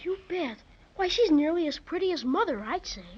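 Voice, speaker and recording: A woman's voice: very high voice, animated, a little fast. A woman playing a blunt, questioning, boyish little boy in a 1930s children's radio drama. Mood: admiring. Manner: plain-spoken, questioning. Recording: muffled 1930s radio recording.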